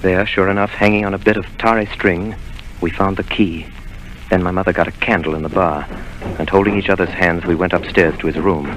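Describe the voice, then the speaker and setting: A deep, grave narrator. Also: male voice, fairly even intonation, a bit bright, a little hiss or hum, low-quality recording. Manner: measured, grave. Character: grown man recalling his boyhood, grave storyteller. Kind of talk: 1930s radio drama narration.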